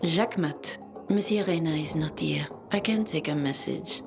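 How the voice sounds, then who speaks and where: Haughty gatekeeper secretary, deep female voice, formal and aloof, for a video game. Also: moderate pace, creaky, music in the background. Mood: aloof, dismissive. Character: haughty, guarded female secretary to a powerful boss.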